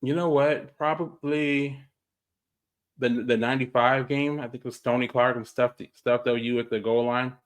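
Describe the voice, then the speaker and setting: A man around forty, co-hosting a sports podcast in an easygoing way, quick to agree and laugh along. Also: medium pitch, steady tone, clean tone. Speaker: podcast co-host. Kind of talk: casual sports chat.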